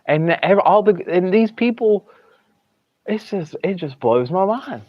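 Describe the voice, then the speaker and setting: A man with a thick Southern US accent rambles through a casual livestream, restarting sentences and trailing off into low mumbles. The sound is dry and a little dull. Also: lively intonation, a little slow, no echo. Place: hospital room.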